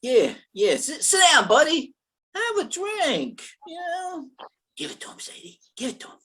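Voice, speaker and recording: A man's voice: extremely high pitch, wildly swooping pitch, moderate pace, bright, forceful tone, full character voice. A performer playing a dim, lovestruck male gangster in an old-time radio play, stammering and bumbling in slangy talk. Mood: pushy, impatient.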